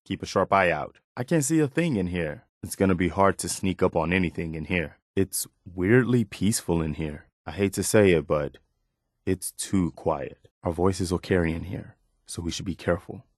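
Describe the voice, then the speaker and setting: An actor playing an earnest, wary militia man in a post-apocalyptic video game, speaking in a measured, conversational way. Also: low voice, dry recording, studio quality. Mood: quiet, cautious. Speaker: video game companion character. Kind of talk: game voice acting, short spoken reactions.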